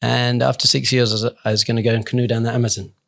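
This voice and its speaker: A man talks as a guest in a conversational interview. His voice sits at a middle pitch. He is earnest and reflective, explaining his ideas in informal, everyday language. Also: little pitch movement, racing, vocal fry, fairly bright tone. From England. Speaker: rabbi and podcast guest.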